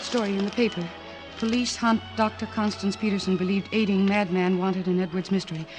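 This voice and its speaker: A woman's voice: mid-range voice, steady tone, fairly quick, close to an everyday voice. Casting: determined woman analyst in love, played by an actress, earnest vintage radio delivery over music. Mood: urgent. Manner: earnest, insistent. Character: devoted, determined woman psychoanalyst in love with her patient. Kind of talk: 1940s radio drama acting.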